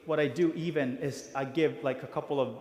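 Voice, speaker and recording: Halting man's voice in an echoing hall. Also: mid-range voice, fairly even intonation, darkish tone. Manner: hesitant, many fillers and restarts. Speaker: priest. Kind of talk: briefing volunteers at a parish meeting. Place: church hall. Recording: reverberant church hall.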